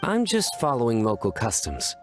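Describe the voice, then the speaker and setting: An actor playing a solemn, deadpan young man in a video game, speaking in a measured way with pauses. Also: medium pitch. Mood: deadpan, pensive. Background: music.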